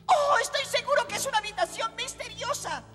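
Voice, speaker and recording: A woman's voice: very high voice, expressive, a little fast. A woman voicing a cowardly, whiny little male sidekick in a cartoon adventure. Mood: nervous whining. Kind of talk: dubbed animated adventure acting. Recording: long reverb, faint music behind.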